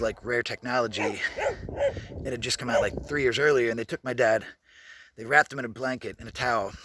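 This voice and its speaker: A man in his thirties talks as he walks around outside, pitched in an ordinary middle range. His storytelling is candid and loose, full of asides and self-corrections. A faint hiss of noise sits under the voice. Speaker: travel vlogger. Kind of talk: casual walking vlog. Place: outdoors at a skate park. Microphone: handheld camera while walking.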